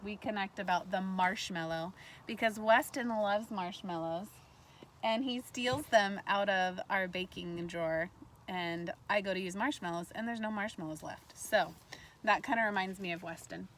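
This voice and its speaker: A woman teacher reading a storybook aloud to kindergartners in an animated way, pausing to put questions to her listeners. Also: mid-range voice. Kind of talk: read-aloud lesson for young children. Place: outdoors in a backyard tree fort among trees. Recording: faint outdoor ambient noise.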